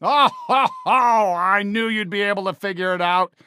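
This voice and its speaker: A man's voice: sits high, normal speed, sharp tone, clean tone, dry recording, clean studio sound. A man voicing a friendly, folksy American toy cowboy sheriff in a video game, eager and encouraging with a cowboy drawl. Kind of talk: video game character voice lines.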